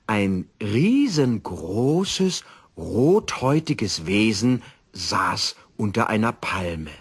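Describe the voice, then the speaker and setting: A man reading a children's story as a storyteller, in an expressive, theatrical way. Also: on the low side, drawn-out words, slightly rough. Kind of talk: scripted children's story narration.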